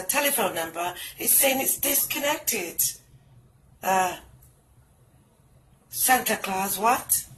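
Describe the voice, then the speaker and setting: A woman's voice: mid-range voice, fairly bright tone, slight background noise. A middle-aged woman with a British Jamaican accent, doing a bawdy comic phone call with patois turns of phrase. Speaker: comedian. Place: indoors, small room. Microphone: phone camera close up, wired earphones.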